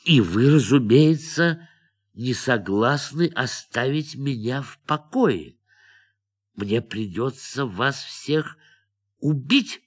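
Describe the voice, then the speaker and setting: An actor playing a calm, defiant astronaut in a radio play, speaking in a measured, quietly intense way. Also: male voice, mid-range voice, breathy edge. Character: calm defiant astronaut who turned on his crew.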